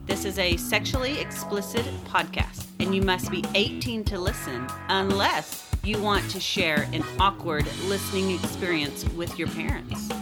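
A woman reading a scripted podcast introduction and disclaimer. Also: medium pitch. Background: loud guitar music.

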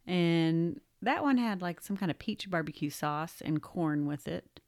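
Relaxed low-voiced woman co-host. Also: unhurried, no echo, clean studio sound. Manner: relaxed, laughs along. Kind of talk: casual chat.

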